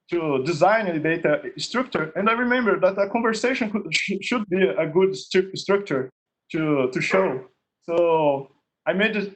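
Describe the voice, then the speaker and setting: A Portuguese-accented man, a native Portuguese speaker, demos his project on a video call. His voice sits a little high and he talks slowly. He stops and restarts often and keeps checking in with "okay?". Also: rough recording. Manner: halting, repeats words, ends points with "okay?". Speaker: contest winner presenting his project. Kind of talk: screen-share demo in an online meetup.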